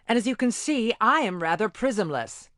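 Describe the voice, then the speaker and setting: A woman's voice: fairly high pitch, lively intonation, moderate pace, a bit bright, no room sound, clean studio sound. A woman playing a proud, competitive female gem warrior in a cartoon game, with a haughty, theatrical manner. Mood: proud, brisk. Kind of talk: animated game voice acting.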